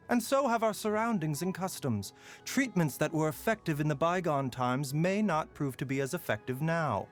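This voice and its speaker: An actor playing a calm, courteous male doctor in a game, with a soft, measured way of talking. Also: on the high side. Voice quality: soft, measured, sighs and chuckles. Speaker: game character voice lines. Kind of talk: game voice lines.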